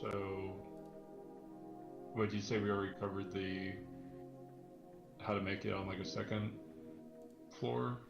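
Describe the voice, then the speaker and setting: Low, even-toned man in an echoey room. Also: measured pace, vocal fry, long pauses, muffled recording. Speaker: tutorial co-host. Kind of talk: casual tutorial chat. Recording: roomy echo, music behind. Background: background music.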